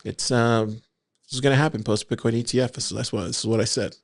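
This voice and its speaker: A man co-hosting a casual podcast, reading forum posts aloud in a steady way and asking his co-host for his thoughts. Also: mid-range voice, quick pace, dry recording. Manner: reads quotes in an even, steady way, then asks for opinions.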